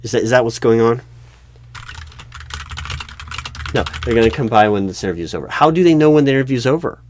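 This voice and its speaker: A man playing a flustered, earnest recruiting manager in a one-sided job interview roleplay, speaking haltingly and often correcting himself. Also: medium pitch, background noise, natural voice. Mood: suspicious, resigned. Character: flustered, unobservant male corporate recruiting manager, earnest, exasperated. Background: computer keyboard typing.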